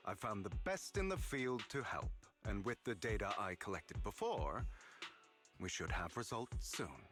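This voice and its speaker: An actor playing a sly, proud rebel in a video game, speaking in a theatrical, taunting, deliberate way. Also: male voice, lowish voice, sing-song. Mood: composed, smug. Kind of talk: dramatic video game voice acting. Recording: music behind the voice.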